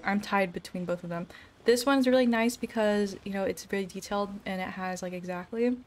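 A woman's voice: medium pitch, a little fast. Sassy young woman streaming. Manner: offhand, sassy. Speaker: game streamer. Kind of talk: casual chat, live game commentary.

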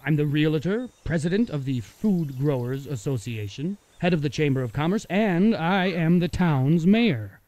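An actor playing an affable small-town mayor and realtor in a radio play, folksy and eager to please. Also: male voice, fairly high pitch, lively intonation, moderate pace, hardly any pauses, darkish tone, no room sound, close to an everyday voice. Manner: folksy, accommodating.

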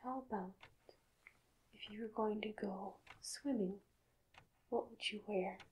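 A woman playing a doctor in an ASMR roleplay, speaking softly and mostly in whispers, with gentle questions and long pauses, close to the microphone. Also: medium pitch, fairly even intonation, slight background noise, low-quality recording.

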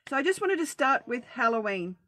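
Chatty Australian woman, bright pitch. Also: sing-song, fairly quick. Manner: chatty, practical, reassuring. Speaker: budgeting group host. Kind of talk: casual Facebook Live talk.

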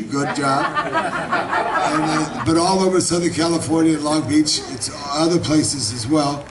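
An older man with a raspy voice giving an effusive, rambling speech at an outdoor evening party. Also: a little high. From Los Angeles, United States. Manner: warm, effusive, rambling with repeats. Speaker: guest speaker at a community reception. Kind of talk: informal public speech. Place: outdoor garden party at night. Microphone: handheld mic on a stand, filmed from afar. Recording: distant camera audio, crowd chatter. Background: crowd of guests.

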